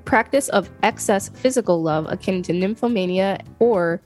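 A woman's voice: medium pitch, normal speed, a little mellow, soft background music. A woman co-hosting a podcast, reading an article aloud steadily, now and then tripping over names. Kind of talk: casual read-aloud.

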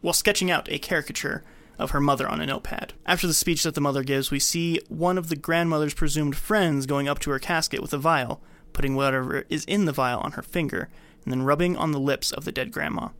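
A man's voice: fairly high pitch, fairly even intonation, quick pace, fairly bright tone. A man hosting a podcast, giving a scripted film recap with casual commentary. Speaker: podcast host.

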